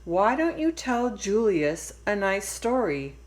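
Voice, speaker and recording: A woman reads as a mother mouse in a children's storybook. She is patient and reasonable, coaxing gently as she speaks. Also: mid-range voice, very animated, slow. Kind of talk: read-aloud storybook.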